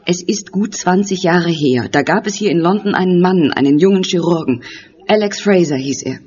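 A woman's voice: fairly low pitch, normal speed, a little mellow, lightly acted. An insistent middle-aged woman played by an actress speaks earnestly in a radio drama, with an undertone of bitterness. The recording is a little dull. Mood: earnest revelation. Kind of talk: radio play acting. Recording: muffled studio recording.

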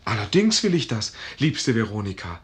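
An actor in a radio play voicing a polite, dreamy and excitable young man with a hesitant, wondering manner. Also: on the low side, normal speed, slight background noise. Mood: earnest. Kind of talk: German radio play acting.

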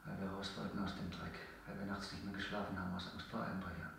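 A man's voice: low-pitched, flat delivery, fast, creaky, a bit bright, slight background noise. A man in a German radio drama playing a desperate, embittered actor under interrogation, speaking insistently and with passion. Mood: bitter, weary. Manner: impassioned, insistent, repeating himself. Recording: very echoey room, distant from mic.

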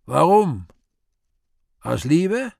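Satan in a radio play, slow theatrical delivery, sly n gloating, lots of fry in the voice. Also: male voice, on the high side, sing-song, darkish tone, no room sound, clean studio sound, exaggerated voice. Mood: declaiming, accusing. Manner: slow, deliberate, theatrical declamation with drawn-out words. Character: the Devil, weary, gloating, sly and scornful interrogator.

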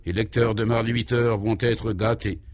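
A man's voice: lowish voice, level tone, moderate pace, a little mellow, natural voice. A man playing a courteous, easily moved male detective in a comic radio serial, speaking with mock earnestness. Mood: grateful, pompous.

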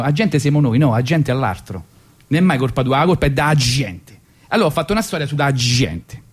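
A man's voice: mid-range voice, husky. Rambling ironic Roman radio man. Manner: ironic, rambling, lots of asides. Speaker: radio host. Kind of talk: comic storytelling monologue. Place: radio studio.

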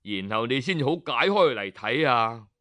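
A man voicing an old male hermit sage who gives slow, grave counsel with heavy sighs. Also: mid-range voice, expressive, a bit bright, pitched below the performer's own voice. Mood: grave, sorrowful.

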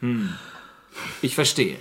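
Casting: polite, shrewd detective for crime radio play. Bright, animated voice, wry, sceptical questioning. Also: male voice, mid-range voice, slow pace, breathy edge, heavily acted. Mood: probing, sceptical. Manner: polite, probing, wryly sceptical. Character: shrewd, courteous gentleman detective questioning suspects. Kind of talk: radio crime drama acting.

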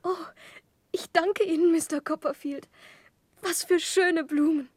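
A woman's voice: very high-pitched, fairly even intonation, measured pace, no echo, clean studio sound. An actress playing a sweet, pampered young lady with a coy, childlike manner in a 1960s German radio drama. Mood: sweet, delighted.

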